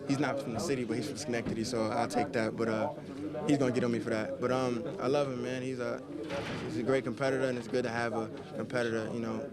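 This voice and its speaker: A man's voice: medium pitch, flat delivery, fast. A young man from New York, a college basketball player, talking casually in a post-game interview. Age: young adult. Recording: loud crowd chatter behind.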